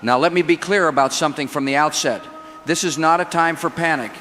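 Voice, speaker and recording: Male actor as crisis-time president: resolute oratory, slightly high male voice, faint background ambience. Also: a bit bright, a little hiss or hum, close to an everyday voice. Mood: resolute, solemn. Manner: oratorical, deliberate repetition. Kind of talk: formal televised political address.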